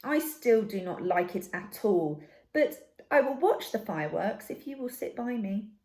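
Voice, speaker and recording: A woman's voice: medium pitch, sing-song, measured pace, darkish tone, reverberant. A woman voicing a timid, earnest young male baby barn owl in a children's storybook, with a plaintive, childlike manner. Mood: stubborn, timid.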